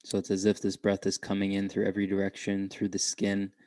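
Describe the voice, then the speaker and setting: A man guiding a meditation in a gentle, unhurried way, leaving long pauses between short phrases. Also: low voice, monotone, no room sound, clean studio sound. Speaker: meditation guide. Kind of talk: guided meditation.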